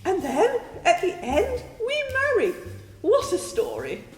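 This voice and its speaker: A woman's voice: very high voice, wildly swooping pitch, slow pace, heavily acted. A woman playing a melodramatic, lovestruck lady admirer in a comic radio play, gushing theatrically. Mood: gushing, excited. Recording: echoey hall reverb, music behind.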